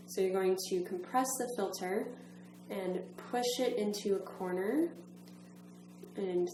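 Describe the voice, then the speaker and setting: A woman's voice: mid-range voice, warm tone. Woman instructor in an echoey room. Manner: methodical, step-by-step. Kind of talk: instructional demonstration narration. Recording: roomy echo, faint background hum.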